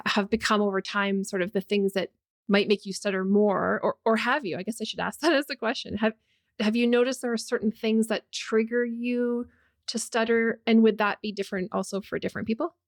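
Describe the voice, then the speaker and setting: A woman hosting a podcast interview, chatting easily and asking follow-up questions. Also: on the high side. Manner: friendly, asks follow-up questions, tag questions. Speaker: podcast host, facilitator. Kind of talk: conversational interview.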